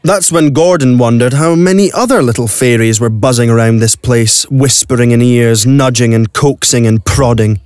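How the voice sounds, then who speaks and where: A man's voice: mid-range voice, fairly even intonation, normal speed, few pauses, a little mellow, smooth, no room sound, studio quality. A man narrating a scripted audio play as a dramatic storyteller. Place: dry recording studio.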